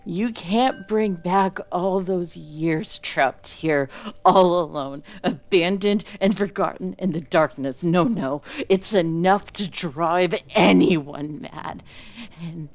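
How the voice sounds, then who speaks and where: A woman's voice: lowish voice, takes it slowly. A woman playing a bitter, mocking imprisoned dragon soul in a dramatic audio roleplay, with a theatrical, taunting delivery. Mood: bitter anguish.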